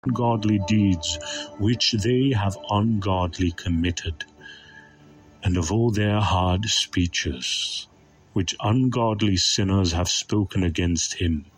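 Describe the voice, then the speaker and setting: A man delivering a dramatic scripted sermon as a preacher, reading scripture in a solemn, emphatic and deliberate manner. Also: sits low, soft background music, rough recording. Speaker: preacher narrator.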